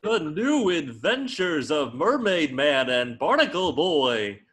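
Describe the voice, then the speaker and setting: A man's voice: fairly high pitch, sing-song, takes it slowly, a bit bright. A man narrating as a theatrical cartoon announcer in a script reading.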